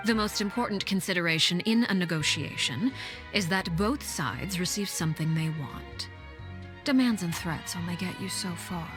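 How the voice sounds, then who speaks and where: An actress playing a cold, menacing woman leader in a video game, speaking in a measured, formal, commanding way with a breathy hush. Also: fairly low pitch, lively intonation, over music, close to an everyday voice. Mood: cool, condescending. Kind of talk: scripted video game voice acting.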